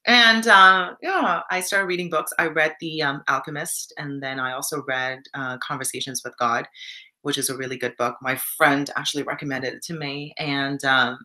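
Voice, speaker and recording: A woman's voice: low-pitched, steady tone, normal speed, bright, forceful tone. A young Canadian woman in casual live stream chat, reflective and encouraging, reading viewer comments aloud.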